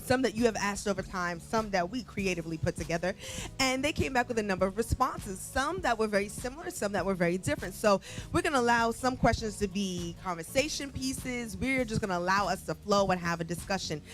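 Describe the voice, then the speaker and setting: A woman hosts a casual talk show in a conversational, bantering way. Her voice sits at an average pitch, with faint music behind it. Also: lively intonation, brisk. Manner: informal, interjecting and bantering. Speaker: co-host of a community talk show. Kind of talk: conversational hosting with announcements.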